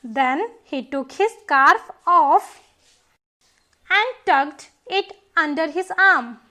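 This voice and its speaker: High, bright woman storyteller. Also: expressive, extremely slow. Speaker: storyteller and teacher. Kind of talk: scripted children's story narration.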